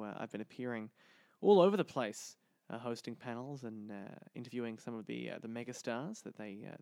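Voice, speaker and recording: A man's voice: mid-range voice, gravelly, darkish tone, dry recording, studio quality. A man presenting and interviewing as a podcast host.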